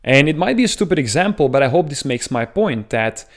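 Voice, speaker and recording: A man's voice: on the high side, very animated, brisk. A man from Belgium with a thick Belgian accent, talking earnestly to camera as a dating coach, explaining at length with frequent filler sounds. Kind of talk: talking-head advice video.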